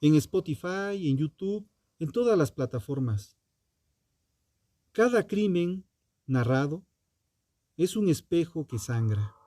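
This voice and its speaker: A man narrating a scripted true crime podcast in a dramatic, solemn and deliberate manner, with ominous rhetorical questions. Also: on the high side, expressive, warm tone, no room sound.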